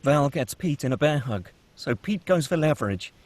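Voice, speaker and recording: A man's voice: on the high side, normal speed, dry recording. A man narrating the stage directions at a script table read. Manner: steady, matter-of-fact reading.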